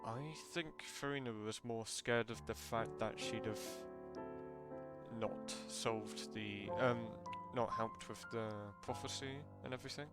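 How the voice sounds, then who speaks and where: A man streaming a game, giving casual, halting commentary as he thinks out loud in a conversational way. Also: mid-range voice, fairly even intonation, creaky. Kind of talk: casual live gameplay commentary. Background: prominent game music with piano.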